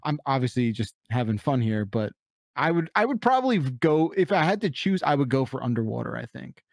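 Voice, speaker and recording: A man's voice: mid-range voice, sing-song, a little fast, gravelly, darkish tone, no echo, studio quality. A man hosting a comedic panel chat in a casual, rambling way with some swearing. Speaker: podcast host.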